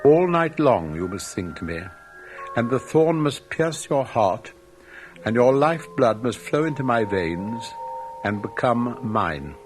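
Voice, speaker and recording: A man voicing a sorrowful old rose tree in a storybook, speaking with slow, solemn, theatrical delivery. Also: medium pitch, very animated, faint music behind. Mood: grave, ominous.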